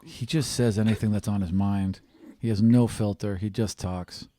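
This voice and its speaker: A man's voice: fairly low pitch, steady tone, moderate pace, warm tone, a little hiss or hum, natural voice. A man playing a tired, worried young demigod student in improvised tabletop roleplay, terse, plain-spoken and matter-of-fact. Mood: weary.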